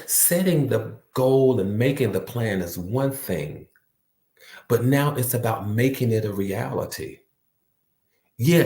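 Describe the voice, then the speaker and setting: Emphatic low-voiced man, echoey room. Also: a little mellow. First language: not French. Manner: repetitive, emphatic, preacher-like cadence. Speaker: motivational speaker. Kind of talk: motivational talk.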